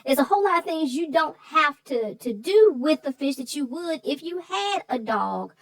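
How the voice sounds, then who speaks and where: A woman's voice: high-pitched, big pitch swings, normal speed, dry recording, poor audio. A woman co-hosting a children's story show, talking over the book conversationally with playful, puzzled emphasis.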